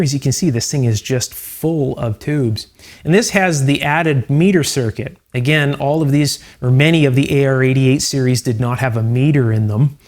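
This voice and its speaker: A man hosting an electronics restoration video, explaining each step calmly and methodically, with frequent fillers. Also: mid-range voice. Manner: calm, methodical, with fillers like "uh" and "you know". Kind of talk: hands-on technical explanation.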